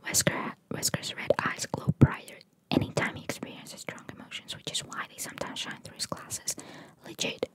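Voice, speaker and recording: A woman whispering in an ASMR style, reading trivia aloud with chatty, informal asides in a breathy, gravelly whisper. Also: normal speed, a bit bright. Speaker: ASMR video host.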